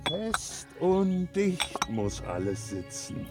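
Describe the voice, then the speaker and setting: An actor playing a greedy, domineering giant in a German fantasy radio play, giving exacting commands. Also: male voice, fairly high pitch, very animated, very slow, over loud music, exaggerated voice. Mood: bossy, exacting. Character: greedy, bossy male giant demanding his gold.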